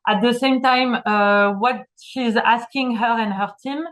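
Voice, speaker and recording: A woman's voice: on the high side, steady tone, measured pace, a bit bright. Hesitant woman presenting. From France. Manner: hesitant, many fillers. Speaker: city official presenting. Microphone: video call.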